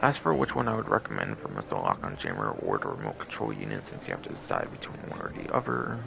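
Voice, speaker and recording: A man's voice: extremely low pitch, wildly swooping pitch, fast talker, vocal fry, crowd noise. A man hosting a game livestream with casual, rambling, repetitive commentary.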